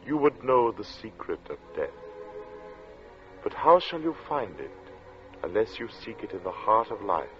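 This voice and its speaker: Deep-voiced solemn radio drama narrator. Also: male voice. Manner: measured, solemn, theatrical. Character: earnest male narrator recounting a strange encounter. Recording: muffled vintage radio recording with music.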